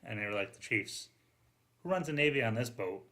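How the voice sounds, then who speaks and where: A man's voice: mid-range voice, animated, a little fast, bright, forceful tone. A man telling things in a casual, informal podcast style, often interrupting himself and peppering his talk with "like". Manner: informal, frequent "like", self-interrupting. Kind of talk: casual conversational storytelling.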